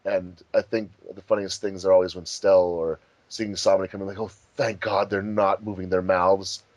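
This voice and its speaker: Low guy doing casual commentary on a fandub, really dry close sound. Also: little pitch movement, moderate pace, a little mellow, no room sound, dull audio. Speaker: fandub producer and commentary host.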